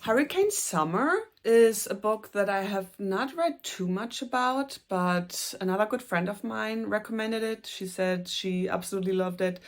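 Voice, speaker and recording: A young woman with a German accent, chatting earnestly to camera about books she plans to read, with a few soft, self-conscious laughs. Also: mid-range voice, normal speed, hardly any pauses. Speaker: booktuber. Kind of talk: casual book talk to camera.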